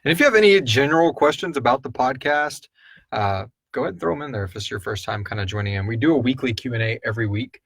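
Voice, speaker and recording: A man's voice: medium pitch, fast talker, gravelly, a bit bright, husky, no room sound. A man hosting a casual live stream, chatting informally and chummily with viewers in a rambling way. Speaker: podcast host answering listener questions.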